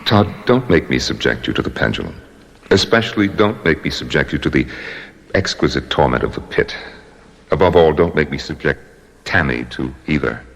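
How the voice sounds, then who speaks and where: An actor playing a coldly polite, menacing villain in an old radio drama, speaking in measured, mock-courteous threats. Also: male voice, very deep voice, animated, gravelly, a bit bright, heavily acted. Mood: threatening. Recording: hollow long-reverb radio drama recording.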